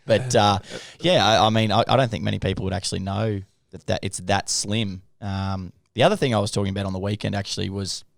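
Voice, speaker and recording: A man's voice: fairly low pitch, fairly even intonation, quick pace, vocal fry, few pauses, dry recording. An Australian man hosting a podcast, chatting in a blokey, informal and slangy way. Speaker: podcast host. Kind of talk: casual, slangy podcast chat.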